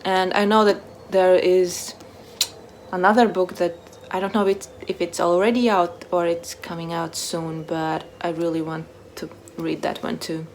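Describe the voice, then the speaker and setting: A woman book vlogger chatting casually to camera in short, halting phrases that trail off softly. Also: on the low side, little pitch movement, slight background noise. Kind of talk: casual chat to camera, answering a book tag.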